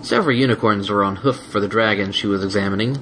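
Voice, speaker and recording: A man's voice: on the low side, quick pace, a bit bright, slight background noise. A man reading a fan fiction story aloud as scripted narration.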